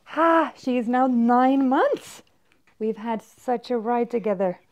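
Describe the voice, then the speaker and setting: A woman's voice: on the high side, expressive, slow. Woman, swedish accent, upbeat fitness vlogger, chatty with lots of fillers, filmed by a camera set back in a bedroom. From Sweden. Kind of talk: casual talk to camera. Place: bedroom with toys, indoors. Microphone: far camera.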